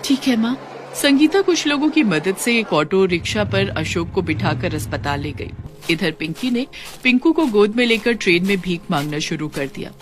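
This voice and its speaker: A woman's voice: mid-range voice, big pitch swings, normal speed. A woman reading scripted narration for a moral story.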